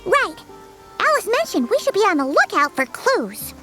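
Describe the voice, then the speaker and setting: A little floating fairy girl in a video game. The voice is extremely high, squeaky and childlike, swinging up and down with lively, chirpy eagerness. She sounds loyal and chatty, and music plays clearly behind her. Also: moderate pace. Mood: excited, reassuring. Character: small childlike floating fairy companion, female, cheerful and loyal. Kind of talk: video game cutscene acting.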